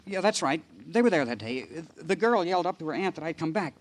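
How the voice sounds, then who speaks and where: Actor as a frantic, high-voiced confessing young salesman. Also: lively intonation, quick pace, close to an everyday voice. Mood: panicked, guilty. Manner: stammering, panicky, pleading. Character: frightened guilty male magazine salesman confessing under questioning. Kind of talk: old-time radio crime drama acting. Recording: noisy old 1950 radio recording.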